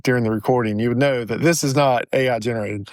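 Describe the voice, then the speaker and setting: A man's voice: medium pitch, steady tone, fast, no room sound, clean studio sound. A man co-hosting a podcast in good-humored, casual conversation. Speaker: podcast co-host. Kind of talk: casual chat.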